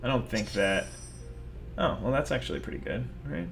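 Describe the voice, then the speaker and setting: A man's voice: medium pitch, animated, moderate pace, gravelly, a bit bright, faint music behind. A young man giving rambling, self-mocking commentary over his own card game, talking casually to viewers. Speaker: gaming video host.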